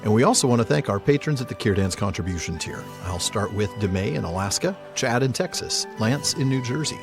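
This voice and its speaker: A man's voice: fairly low pitch, expressive, a little fast, music in the background. A man co-hosting a podcast in a casual, jokey way, moving between banter and sign-off. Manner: jokey, laughs often while talking. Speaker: podcast co-host.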